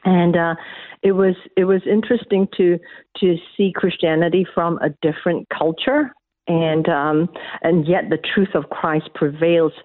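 A woman's voice: on the low side, steady tone, measured pace, no room sound. A middle-aged woman from Malaysia, an academic guest answering interview questions, hesitant with many restarts. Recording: tinny phone line.

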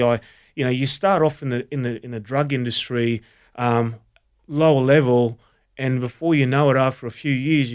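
Smooth-voiced Australian man, earnest and halting. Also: medium pitch. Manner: earnest, halting, many restarts. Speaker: associate pastor, guest. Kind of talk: personal testimony interview.

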